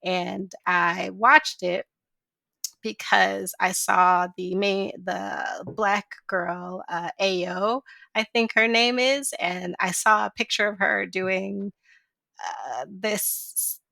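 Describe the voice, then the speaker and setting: Young woman podcast host, bit of chicago accent, chatty, acts out little quotes, bright voice. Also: mid-range voice, takes it slowly, creaky, dry recording. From Chicago area. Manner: chatty, animated storytelling with voiced asides. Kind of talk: casual conversation.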